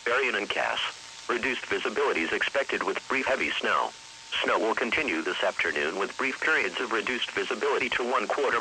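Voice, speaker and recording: A man's voice: medium pitch, bright, forceful tone, low-quality recording. A synthetic male text-to-speech voice reading a scripted weather bulletin in a formal, impersonal manner as a radio announcer. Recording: hissy weather radio broadcast.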